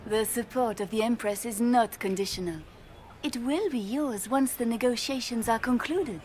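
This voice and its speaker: A woman's voice: on the high side, normal speed, darkish tone, slight background noise, lightly acted. An actress playing a formal, ingratiating female court messenger in a video game cutscene.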